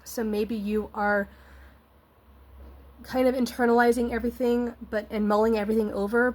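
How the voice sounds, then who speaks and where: High-voiced woman, slightly scratchy and congested. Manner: rambling, searching for words, encouraging. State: congested nose, getting over an illness. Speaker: tarot reader and host. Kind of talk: casual spoken tarot reading.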